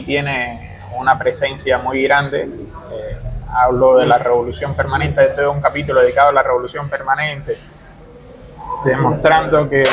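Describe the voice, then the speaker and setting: A man's voice: mid-range voice, poor audio. A man with a Cuban accent giving discursive, detailed, explanatory answers as an author being interviewed. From Cuba.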